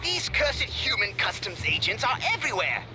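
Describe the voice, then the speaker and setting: A pompous alien mastermind schemes in florid, theatrical phrases. The voice is high and swoops dramatically, as in an animated video game, with music playing behind it. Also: male voice, normal speed. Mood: bossy, urgent. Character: scheming, pompous alien commander, disembodied as a hologram.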